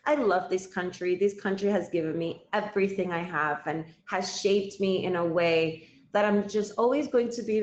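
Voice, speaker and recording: Chatty woman live streamer, roomy sound. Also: medium pitch. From Cuba. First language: Spanish. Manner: chatty, addresses viewers directly, thanks gifters. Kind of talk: casual live stream chat. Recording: roomy, slightly dull sound.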